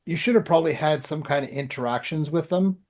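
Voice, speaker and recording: A man's voice: on the high side, fast, darkish tone. A man chatting as a podcast host in an informal, loosely anecdotal, conversational way. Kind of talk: casual conversational chat.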